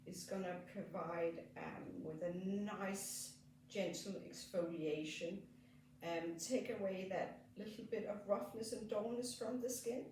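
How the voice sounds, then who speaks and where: A woman with a Danish accent, a skin therapist gently explaining a treatment as she works, with frequent fillers. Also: mid-range voice, slow pace, far from the mic, slight background noise. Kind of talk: treatment demonstration. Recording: echoey room, off mic.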